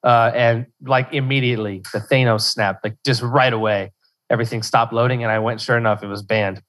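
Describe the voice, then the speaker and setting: A man's voice: medium pitch, steady tone, brisk, a bit bright. A young man from Louisiana, a podcast guest who talks in loose rambling bursts full of restarts and fillers. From South Central Louisiana. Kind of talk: casual interview chat.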